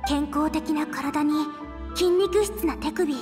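A woman's voice: high voice, slow. A woman voicing an eager, girlish young female chef in a Japanese game, with earnest informal speech. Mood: observant. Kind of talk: Japanese anime game voice acting. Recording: music behind the voice. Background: background game music.

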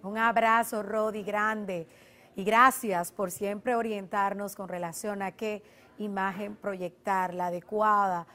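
A young woman hosting a television interview from a studio, asking questions in a lively manner. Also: mid-range voice, slow pace. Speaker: TV show host.

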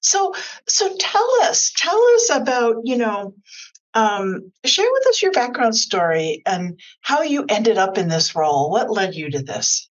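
An older woman hosting a podcast interview over a video call, introducing her guest with enthusiasm and a slightly halting delivery. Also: on the high side, big pitch swings. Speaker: podcast host. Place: office meeting space, indoors. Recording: dull lo-fi video call audio.

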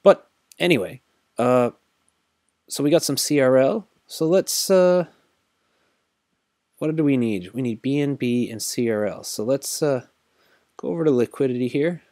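A man's voice: mid-range voice, a little slow, long pauses, darkish tone, no echo, studio quality. A tired man casually thinking aloud while walking through a screen-recorded tutorial, rambling with many fillers. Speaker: crypto tutorial host.

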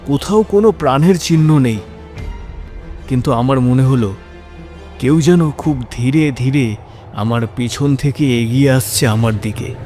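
A man's voice: medium pitch, warm tone. A man narrating a scripted first-person horror story in a suspenseful, dramatic delivery. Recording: music behind the voice.

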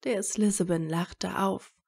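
A woman narrating scripted fan fiction as a story, in a measured storytelling manner. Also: mid-range voice, steady tone, vocal fry, darkish tone.

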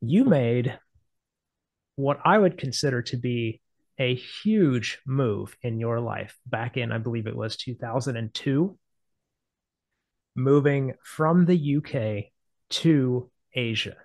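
Upbeat male host, 40s, mid-pitched and affirming, dry video-call sound. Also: takes it slowly, darkish tone, no echo. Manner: enthusiastic, affirming. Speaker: interviewer host. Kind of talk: casual interview talk. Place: home office with bookshelves. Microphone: earphone mic on a video call.

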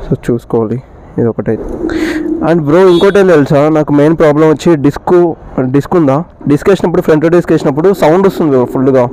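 A man's voice: fairly high pitch, mellow, low-quality recording. A man with a Telangana Telugu accent, chatting casually as a motovlogger and mixing English words into his speech. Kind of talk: casual vlog chat. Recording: vehicle and ambient noise behind. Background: faint vehicle noise.

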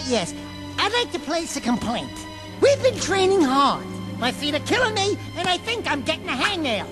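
A man's voice: very high-pitched, big pitch swings, normal speed, loud music behind the voice. A man voicing a small, cocky, wisecracking furry animal sidekick in a cartoonish video game, brash and whiny.

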